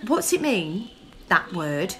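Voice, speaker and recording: A woman's voice: lowish voice, very animated, slow, soft background music. A woman playing a bemused, blunt girlfriend in a radio play, questioning in a matter-of-fact way. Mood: puzzled. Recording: faint train noise behind.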